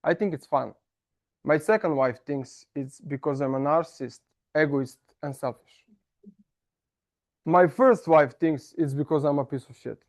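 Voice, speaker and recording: A man with a Serbian accent delivers a comic club speech in a fairly high voice. He pokes fun at himself and stumbles into small restarts. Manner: self-mocking, playful, halting restarts. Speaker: club speaker. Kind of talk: humorous prepared speech. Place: meeting room.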